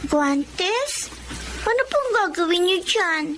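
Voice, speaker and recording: Chirpy little girl; very high, eager, polite, light hiss behind. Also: very animated, takes it slowly, fairly bright tone, heavily acted. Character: cheerful young girl, curious and eager. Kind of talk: radio drama acting.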